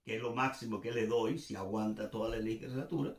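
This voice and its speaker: A man's voice: fairly low pitch, a little fast. An older man from Venezuela with a Venezuelan accent, giving informal commentary off the cuff, searching for words and repeating phrases for emphasis. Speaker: commentator and host. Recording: echoey, reverberant room.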